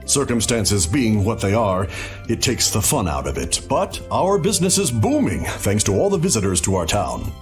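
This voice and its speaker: An actor playing a chipper, obsequious innkeeper in a video game, polite and salesmanlike. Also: male voice, fairly low pitch, big pitch swings, moderate pace, soft background music. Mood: businesslike. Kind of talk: English video game dub acting.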